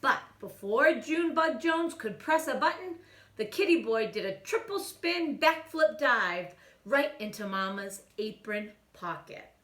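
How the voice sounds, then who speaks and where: A woman reading a children's storybook aloud in an animated way, as if speaking to young children. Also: on the high side, measured pace, far from the mic. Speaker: children's story-time reader. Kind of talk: expressive storybook read-aloud. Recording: echoey room, away from mic.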